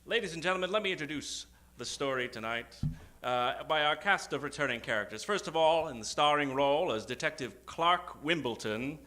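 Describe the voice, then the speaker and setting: A man hosting a live old-time radio show as a grandiose, theatrical announcer with dramatic pauses. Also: on the high side, animated, bright, forceful tone. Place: theater stage, before an audience. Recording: live stage show with music behind. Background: music.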